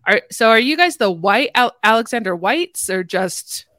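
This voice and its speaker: A woman's voice: a little high, expressive, normal speed, sharp tone, no room sound, clean studio sound. A woman hosting a podcast in a casual, chatty style. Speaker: podcast host.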